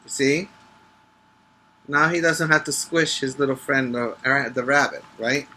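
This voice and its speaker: A man's voice: mid-range voice, measured pace, sharp tone, husky. A male teacher reading a picture book aloud to preschoolers, adding instructive asides and short questions for the young listeners.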